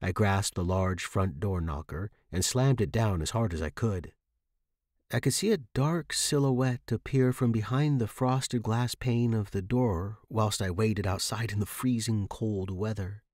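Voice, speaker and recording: Man, low voice, fiction narrator, scripted dramatic reading, dry close recording. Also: unhurried, darkish tone, no room sound. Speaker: audiobook narrator.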